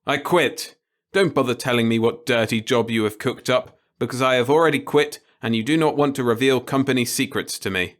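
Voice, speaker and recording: A man's voice: medium pitch, a little fast, close to an everyday voice. A man voicing a defiant, sardonic interstellar repairman in an audiobook, complaining indignantly.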